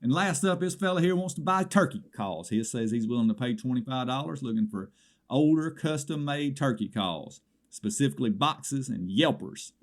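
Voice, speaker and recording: A man's voice: medium pitch, animated, normal speed, close to an everyday voice. A man playing an indignant small-town caller with a Southern American accent, rambling through a comedy swap shop report with exasperated asides. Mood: folksy, wary.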